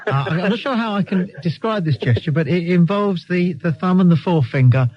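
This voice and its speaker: A man's voice: sits high, animated, fairly quick. An English man hosting a live radio phone-in, bantering and telling anecdotes in a cheeky, teasing, sardonic way. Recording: dull lo-fi radio broadcast recording.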